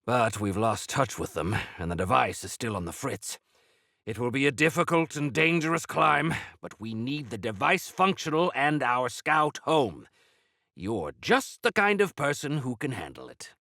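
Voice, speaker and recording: An actor playing a proud, stalwart older leader of mountain folk in a video game, with a rough gravelly voice and theatrical, old-fashioned formal phrasing. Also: male voice, medium pitch, very animated, normal speed, bright, forceful tone, no room sound, studio quality. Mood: grave, urgent briefing. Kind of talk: video game character acting.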